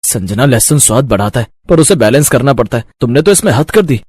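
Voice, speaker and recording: A man's voice: medium pitch, lively intonation, quick pace, darkish tone, husky, no room sound. A confident young chef husband, acted by a man in a cartoon story. He speaks emphatically, with a dry, close studio sound. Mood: reproachful.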